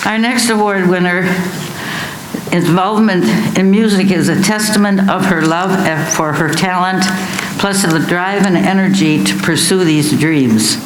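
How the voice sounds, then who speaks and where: A man's voice: high voice, measured pace. A man presenting awards, reading a prepared ceremony speech aloud. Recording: echoey room, faint background noise.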